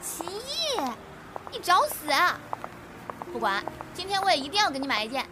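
A woman's voice: very high-pitched, huge pitch swings, moderate pace, background noise, full character voice. A woman voicing a small, feisty young lover, bossy and playful, in a modern Chinese audio drama with music underneath.

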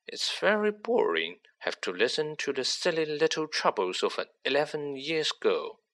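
A man's voice: on the high side, very animated, moderate pace, a bit bright, pitched above the performer's own voice. A man voicing a silky, gloating young sorcerer. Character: cold, manipulative teenage boy sorcerer.

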